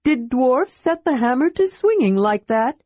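Lady putting on a bouncy high kender boy, chatty and touchy, breathy, kinda dull lo-fi sound. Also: unhurried, dry recording. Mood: awed. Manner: eager, indignant, excitable. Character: cheerful chatty young male kender. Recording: dull lo-fi recording.

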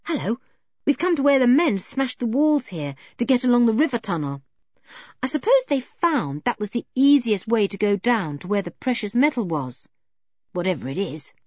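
Adventurous storybook boy, read by a woman: chatty, eager, animated, slightly lo-fi. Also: a little high, moderate pace, no room sound, voice pushed up. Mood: scornful, excited.

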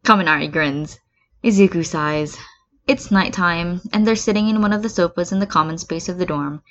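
A woman's voice: on the low side, normal speed. A woman narrating a scripted piece of fan fiction as a reader. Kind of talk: scripted story narration with acted dialogue. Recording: dull lo-fi recording.

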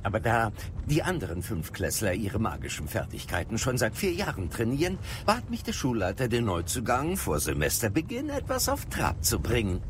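An actor playing a learned wizard mentor, wry and concerned, with a formal, dry manner in a fantasy audio drama. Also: male voice, lowish voice, huge pitch swings, moderate pace, noisy background. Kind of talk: dramatised fantasy radio play. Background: music.

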